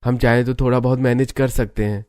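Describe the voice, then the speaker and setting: A man's voice: medium pitch, level tone, a little fast, darkish tone, close to an everyday voice. An actor playing a defiant young adult son who is tired of his family's rules, in a cartoon family drama. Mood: insistent. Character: grown son, fed up and defiant. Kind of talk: cartoon voice acting.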